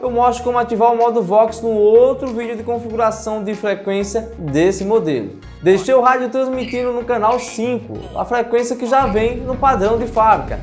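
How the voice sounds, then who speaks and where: High-pitched Brazilian man, roomy sound. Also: normal speed, few pauses, faint music behind. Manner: instructional, addresses viewers directly with frequent check-in tags. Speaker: gadget reviewer and channel host. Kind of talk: casual tutorial voice-over.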